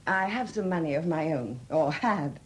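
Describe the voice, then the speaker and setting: An actress in a 1970s radio drama playing a weary, flustered sculptress, newly widowed and proud, speaking candidly in hesitant, halting phrases. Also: female voice, deep voice, lively intonation. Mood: dry, candid. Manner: hesitant, halting, repeating words. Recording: noisy old 1970s radio recording.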